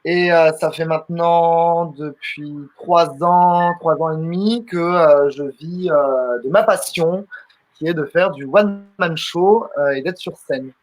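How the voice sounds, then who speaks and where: A young man in his twenties with a somewhat high voice tells his story in a relaxed interview. He is from southern France and talks loosely, full of fillers, restarts and repeated words. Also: takes it slowly. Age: 20s. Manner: chatty, many fillers and restarts. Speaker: guest comedian. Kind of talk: casual interview answers.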